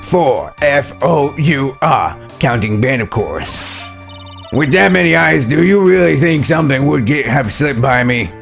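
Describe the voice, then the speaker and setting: Puppet witness for a courtroom game male performer: cocky, defensive, very animated, faint music underneath. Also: medium pitch, unhurried, vocal fry, voice pushed up. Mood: smug.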